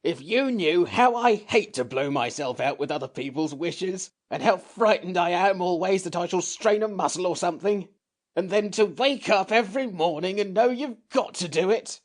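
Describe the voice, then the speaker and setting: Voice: mid-range voice, lively intonation, normal speed, pitched above the performer's own voice, close to an everyday voice. A reader voicing a fussy, complaining sand-fairy creature that grumbles and turns tearful over having to grant wishes. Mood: plaintive. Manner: petulant, complaining, easily tearful. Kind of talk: storybook reading.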